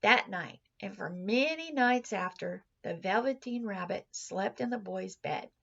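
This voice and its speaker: Female storybook reader, expressive teacherly narration, gravelly fry in the voice, slightly muffled lo-fi sound. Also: mid-range voice, unhurried. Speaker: teacher reading a children's story aloud.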